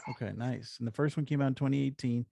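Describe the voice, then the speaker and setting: A man's voice: mid-range voice, fairly even intonation, fast, creaky, dark tone, dry recording, studio quality. A man hosting a casual comics podcast with an upbeat, encouraging way of talking. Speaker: podcast host.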